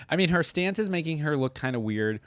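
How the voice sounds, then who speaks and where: A man's voice: a little high, expressive, moderate pace. A man giving casual reaction commentary as an online host, enthusiastic and informal in a conversational way.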